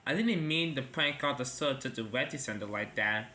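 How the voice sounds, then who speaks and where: A man voicing a playful male toy engine who talks in a lower, sheepish, laid-back way. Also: animated, normal speed, pushed and bright, a little hiss or hum.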